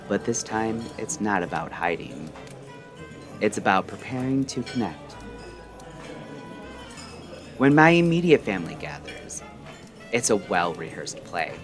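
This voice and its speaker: Slow, low-pitched man narrating over music. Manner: measured, introspective, deliberate short phrases. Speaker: narrator and host of a late-night radio style audio show. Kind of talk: scripted reflective monologue, late-night radio narration.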